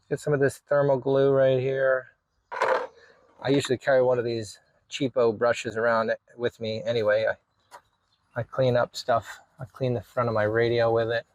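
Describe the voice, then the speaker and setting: A man with a mid-pitched voice talks casually through a hands-on job for a vlog. He sounds informal, as if thinking aloud while he works. The sound is dry, with hardly any room echo. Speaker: video creator showing a DIY radio install.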